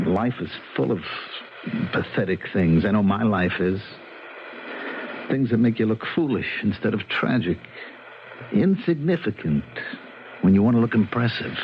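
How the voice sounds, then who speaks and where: A man playing an earnest, troubled police officer, ruminating haltingly and repeating himself in a 1970s radio drama. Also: lowish voice, close to an everyday voice. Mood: musing, confiding. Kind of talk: 1970s radio drama acting, conversational monologue. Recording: muffled, slightly noisy old radio broadcast.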